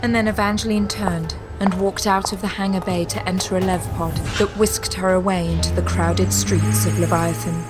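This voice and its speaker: A woman giving measured, scripted dramatic narration for a sci-fi audio drama. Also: lowish voice, steady tone, over loud music. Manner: measured, descriptive storytelling.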